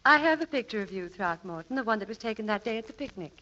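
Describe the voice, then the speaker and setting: Bright, poised radio lady. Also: on the high side, lively intonation, fast talker. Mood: gentle, amused. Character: poised, gently teasing, genteel lady friend. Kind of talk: 1940s radio comedy acting. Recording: muffled vintage radio recording, faint noise.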